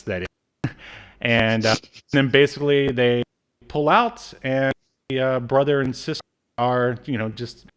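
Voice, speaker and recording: A man in his thirties hosting a casual review chat, speaking in a halting, hesitant way. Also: mid-range voice. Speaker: podcast host. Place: small room at home, video call.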